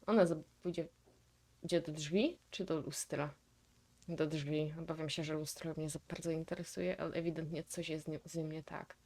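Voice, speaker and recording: A Polish woman hosts a casual reaction video. Her voice sits on the low side and is very soft-edged and dark in tone. She comments in a musing way, reasoning aloud about what she watches.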